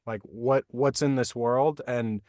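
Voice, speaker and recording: A man who works as a voice actor, explaining his craft in a relaxed, thoughtful way with many fillers, from a home recording booth. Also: medium pitch, takes it slowly, no echo, clean studio sound. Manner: thoughtful, frequent fillers like "like" and "you know". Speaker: voice actor guest. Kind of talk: casual interview answers.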